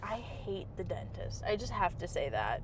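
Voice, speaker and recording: A woman hosting a casual chat, lively and quick to react. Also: mid-range voice, slight background noise, poor audio.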